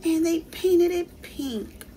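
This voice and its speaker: A woman's voice: very high voice, mellow, slight background noise, pitched above the performer's own voice. A woman voicing a sulky, determined little boy in a children's storybook. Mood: sulky, muttering. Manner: slow, muttering and exclaiming.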